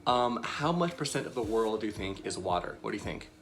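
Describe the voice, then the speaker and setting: A woman's voice: very deep voice, very animated, brisk. A woman hosting a casual Bible lesson for young children, chatty and bubbly, with many fillers. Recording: soft background music.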